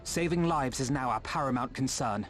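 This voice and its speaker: A man's voice: medium pitch, normal speed, fairly bright tone, lightly acted. An actor playing an earnest, noble young man in a video game, formal, articulate and resolute. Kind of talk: video game cutscene acting. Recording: music behind the voice.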